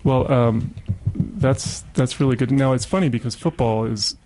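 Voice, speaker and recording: A man's voice: mid-range voice, moderate pace, noisy background. An American man hosting a casual community radio interview, chatting and murmuring agreement as he goes. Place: radio studio.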